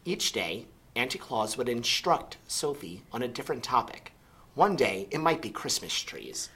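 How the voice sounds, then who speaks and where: A man narrating a children's story aloud in an animated, expressive storytelling style. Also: mid-range voice, normal speed, husky. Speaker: storybook reader.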